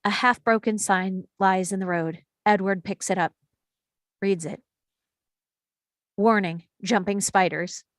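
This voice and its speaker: Female narrator for screenplay stage directions, mid-range pitch, scripted read, dry recording. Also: fairly even intonation, slow, pauses often, dry recording.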